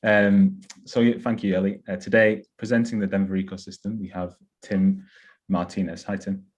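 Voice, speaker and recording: A man's voice: low-pitched, level tone, normal speed. A man casually moderating an online event. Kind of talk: casual introduction. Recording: dull video call audio.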